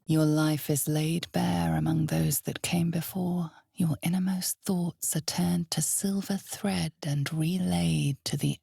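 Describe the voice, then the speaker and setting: An ominous, knowing game narrator with a very low voice full of gravelly fry. She tells a dark fantasy tale to the listener slowly and deliberately. The recording is close and dry. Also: dry recording, exaggerated voice. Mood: brooding, dramatic. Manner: measured, deliberate, portentous delivery. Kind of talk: dramatic scripted second-person fantasy narration.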